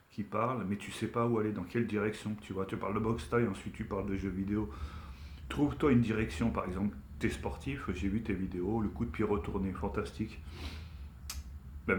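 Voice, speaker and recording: A man's voice: on the low side, darkish tone, roomy sound, a little hiss or hum. A man of Algerian origin talking casually in an emphatic, admonishing, colloquial way, as if setting someone straight in an online video. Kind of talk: casual opinion rant to camera.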